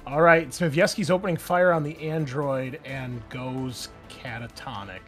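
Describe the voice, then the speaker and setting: A man acting as game master, talking through rules and narrating casually, often halting as he thinks aloud. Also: mid-range voice, steady tone. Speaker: game master of an online tabletop game. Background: music.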